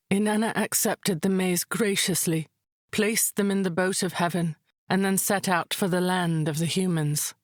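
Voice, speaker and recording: Calm, low-voiced wise high priestess, played by an actress. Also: female voice, no room sound, clean studio sound, natural voice. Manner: measured, deliberate, solemn. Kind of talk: measured mythic storytelling in a visual novel.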